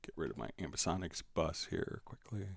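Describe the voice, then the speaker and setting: A man hosting a casual live software tutorial, answering viewer questions in a conversational, step-by-step way. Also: low voice, expressive, a little fast, gravelly. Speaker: livestream host and software instructor.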